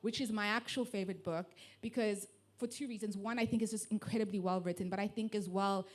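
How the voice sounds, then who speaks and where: A young woman talks on a festival panel at a middle pitch. She speaks in a quiet, thoughtful way, pausing and restarting as she works through her ideas. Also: steady tone. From South Africa. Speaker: panelist, online book lover. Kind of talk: casual panel talk.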